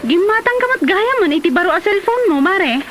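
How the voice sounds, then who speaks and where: A woman acting as a nosy female neighbor with an Ilocano accent. Her voice is high and very lively, teasing and exclamatory, as in a comedy drama. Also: a little fast, over loud music.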